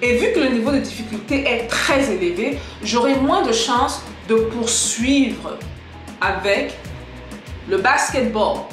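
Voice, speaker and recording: A woman giving a casual spoken lesson to camera, lively and direct, talking straight to her viewers. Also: a little high, slow. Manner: lively, direct, addresses viewers as "les gars"; switches into English example sentences. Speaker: English teacher on a video channel. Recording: echoey room with faint music.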